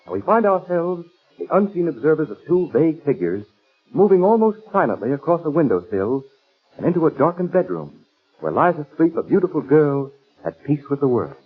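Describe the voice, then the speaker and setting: Old-time radio narrator, man, theatrical, fairly high voice, vintage narrow-band sound. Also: sing-song, fairly quick, breathy edge, faint music behind. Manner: theatrical, suspenseful. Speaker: announcer. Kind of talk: dramatic 1930s radio scripted narration. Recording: muffled vintage 1930s radio recording.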